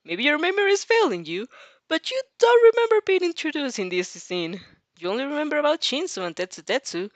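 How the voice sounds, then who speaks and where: Lively woman narrating fan fiction. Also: high-pitched, a little fast, dry recording. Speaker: fan fiction reader and reactor. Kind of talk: expressive story narration with casual asides.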